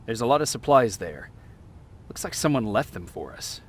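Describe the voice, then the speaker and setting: A man's voice: medium pitch, big pitch swings, moderate pace, husky. An actor playing a weary, terse male resistance soldier who survived an attack, in video game dialogue and narration. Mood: low-key, questioning. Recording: music behind.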